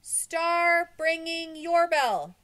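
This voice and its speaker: Woman doing a high, drawn-out shouting crowd. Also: expressive, heavily acted. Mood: urgent shouting. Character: urgent crowd of children and teachers shouting.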